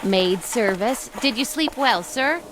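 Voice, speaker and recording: High-voiced, polite hotel maid. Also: female voice, expressive, measured pace, background noise. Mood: puzzled. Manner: polite, deferential, hesitant. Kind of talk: radio drama acting.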